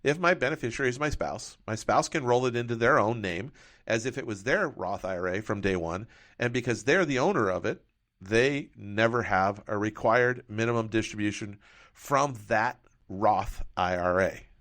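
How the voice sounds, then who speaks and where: A man's voice: lowish voice, normal speed, fairly bright tone. A man working as a financial advisor, explaining retirement tax rules through first-person hypothetical examples.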